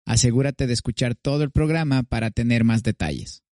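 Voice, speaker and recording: Dark-voiced host, dry. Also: male voice, medium pitch, brisk, no room sound. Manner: even, read-aloud delivery. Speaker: podcast host. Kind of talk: scripted podcast intro and headlines.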